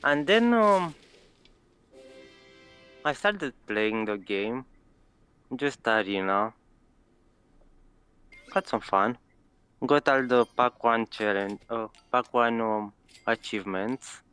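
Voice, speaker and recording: A man with a Romanian accent answers interview questions slowly, pausing often and adding dry humor. His voice is smooth and clear. English is not his first language. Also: medium pitch, animated, a bit bright, soft background music. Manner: slow, halting, dry humor. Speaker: guest player.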